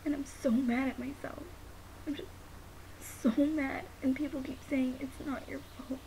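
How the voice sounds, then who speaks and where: A young woman playing a grieving, guilt-ridden daughter in a dramatic monologue, halting and sometimes nearly whispering. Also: sits high, fairly even intonation, creaky, dark tone, poor audio. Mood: anguished self-blame. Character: grieving, guilt-ridden daughter who lost her father. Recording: noticeable background hiss.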